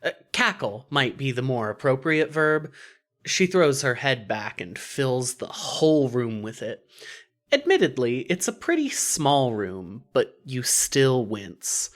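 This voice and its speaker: Story narrator, mid pitch, animated intonation, wry, expressive scripted reading. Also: slow pace.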